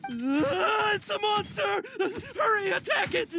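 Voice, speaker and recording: A man's voice: very high voice, sing-song, takes it slowly, vocal fry, very noisy, full character voice. Panicky stammering young man. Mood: frightened, stammering. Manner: stammering, trembling, erratic. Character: panicky, frightened young male herb gatherer, unhinged. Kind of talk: video game voice acting.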